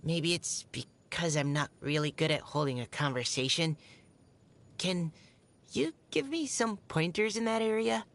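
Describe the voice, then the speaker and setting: A woman's voice: sits low, expressive, unhurried. A shy, earnest young scout girl. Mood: shy, hesitant. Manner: hesitant, soft-spoken, polite, earnest. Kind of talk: video game character voice lines. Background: music.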